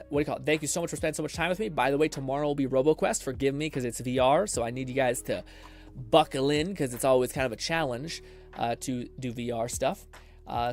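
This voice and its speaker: A male streamer talks quickly and casually, rambling through explanations with blunt swearing and self-deprecating asides. His pitch sits in an average range, and faint music plays behind him. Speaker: live streamer. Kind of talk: casual rambling stream talk with tutorial advice.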